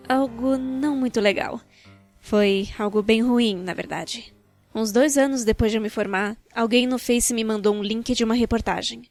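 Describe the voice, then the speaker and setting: A Brazilian woman narrating a scripted storytime video in an expressive, wry, colloquial way. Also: a little high. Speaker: narrator of a fan-dubbed animated storytime video. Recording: background music.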